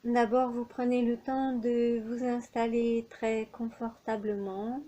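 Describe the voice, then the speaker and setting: A woman leading a guided yoga nidra relaxation as an instructor, speaking in measured, unhurried phrases with pauses between them. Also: fairly high pitch, level tone, warm tone, lo-fi sound.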